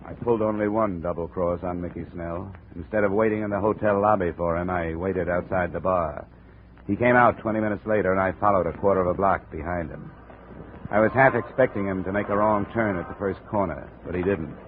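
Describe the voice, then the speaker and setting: Male actor as hard-boiled investigator, low clipped delivery, muffled old radio sound. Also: brisk, lightly acted. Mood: dry, measured. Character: tough, terse male insurance investigator narrating his case. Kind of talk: 1950s radio detective drama, first-person narration. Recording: muffled, noisy 1950 radio recording.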